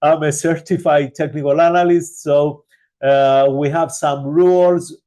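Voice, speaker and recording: A man's voice: a little high, steady tone, warm tone. A man with a Greek accent giving live market analysis and answering viewer questions, speaking loosely with many fillers. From Greece. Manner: unhurried, many fillers, addresses viewers as guys. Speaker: forex analyst hosting a live stream.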